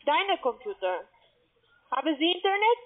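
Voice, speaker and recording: A woman with a foreign accent in German, a non-native speaker, making a halting, repetitive sales call. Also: very high voice, big pitch swings. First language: not German. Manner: halting, repetitive, broken grammar. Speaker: call center telemarketer. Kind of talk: scripted phone sales pitch. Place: call center, on the phone. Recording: muffled, tinny phone line.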